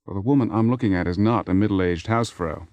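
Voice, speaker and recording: An actor in a radio drama playing a persistent, impatient theater man, smooth-talking and sardonic as he presses his case. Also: low-pitched, a little fast, a little mellow, no room sound, dull audio, lightly acted. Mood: flirtatious, needling. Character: persistent, persuasive theater man seducing a married woman, impatient. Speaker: lead actor.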